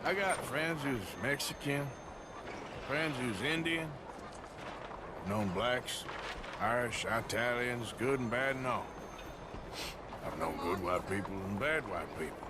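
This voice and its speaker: Blunt cowboy played by a man, American accent, video game. Slow, mid-pitched, plain-spoken, loud street noise around him. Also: lightly acted. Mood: dry, dismissive. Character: blunt, plain-spoken male Western cowboy, quick to anger.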